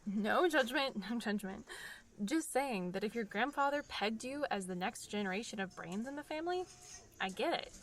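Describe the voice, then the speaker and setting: An actress playing a blunt, inquisitive, wry young friend in a naturalistic audio drama. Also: female voice, fairly high pitch, expressive, moderate pace, a bit bright.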